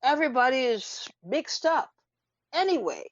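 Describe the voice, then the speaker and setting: A woman giving unscripted radio commentary in an emphatic, deliberate manner, with rhetorical questions and pauses. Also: high voice, big pitch swings, dry recording. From Trinidad. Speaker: radio talk show host. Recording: dull lo-fi radio audio.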